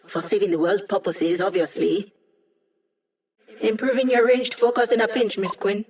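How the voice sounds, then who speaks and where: A woman's voice: mid-range voice, fairly even intonation, normal speed, a little mellow, close to an everyday voice. An actress voicing a wry, cocky young woman hacker in a video game, speaking in quippy, casual lines. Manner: sardonic, quippy, casual slang. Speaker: game character, hacker support.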